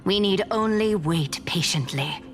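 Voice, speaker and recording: A woman playing a composed, reassuring townswoman in a game drama, with a calm, authoritative manner. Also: fairly low pitch, measured pace, a little hiss or hum, close to an everyday voice.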